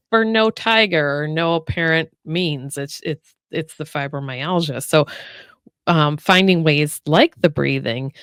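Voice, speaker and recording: A woman's voice: low voice, no room sound, clean studio sound. A woman co-hosting a health chat show, nasal from a cold, walking listeners through breathing exercises step by step in a chatty way. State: getting over a cold, nasal. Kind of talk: casual explanatory chat.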